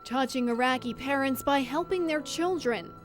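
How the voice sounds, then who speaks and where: A woman's voice: high voice, normal speed, faint music behind. A woman reporter reading scripted narration for a news feature.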